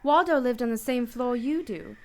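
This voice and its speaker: High-voiced, guarded noir mystery woman, played by an actress. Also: normal speed, natural voice. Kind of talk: radio noir acting.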